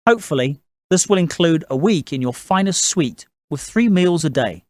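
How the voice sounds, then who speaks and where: Male narrator as shrewd moneyed patriarch in a storybook, raised pitch, courteous but commanding, dry close sound. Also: animated, moderate pace, darkish tone, no room sound, natural voice. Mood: courteous, assured. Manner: polite, commanding, businesslike. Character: assertive gentlemanly father, a shrewd, moneyed family patriarch. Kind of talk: audiobook character dialogue.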